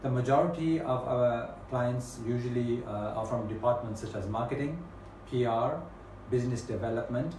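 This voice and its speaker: A man with a British accent presents a webinar in a measured, unhurried way, often pausing on "um" and "uh". His voice sits at an ordinary pitch. It sounds echoey, picked up from a distance with faint background noise. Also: darkish tone. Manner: measured, with frequent ums and uhs. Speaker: webinar host. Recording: echoey room, mic at a distance.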